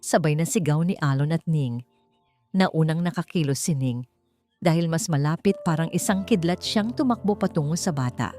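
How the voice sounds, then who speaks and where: A woman narrating a scripted story in a theatrical, suspenseful way with deliberate pauses. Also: low-pitched, dark tone, soft background music. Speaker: audiobook narrator.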